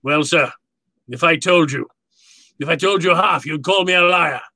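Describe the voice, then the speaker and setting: An actor playing a jovial, courtly, calculating fat crime boss in a radio drama, speaking floridly and with relish. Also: male voice, fairly high pitch, normal speed, pushed and bright, no echo. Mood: probing. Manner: florid, pompous, relishing his words. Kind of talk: old-time radio drama acting.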